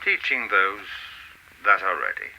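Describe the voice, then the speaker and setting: An actor playing an enigmatic, otherworldly male teacher in a radio play, speaking in a calm, cryptic, formal and measured way. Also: low voice, creaky, muffled recording, a little hiss or hum, exaggerated voice. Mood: cryptic, lofty.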